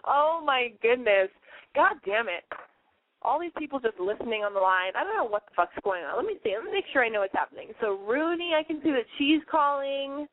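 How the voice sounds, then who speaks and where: A woman's voice: high-pitched, very animated, brisk, a little hiss or hum. Nasal valley-girl woman host with a cold. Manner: valley-girl speech, blunt and profane. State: has a cold. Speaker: radio show host. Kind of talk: casual call-in radio chat. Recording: tinny phone-line sound.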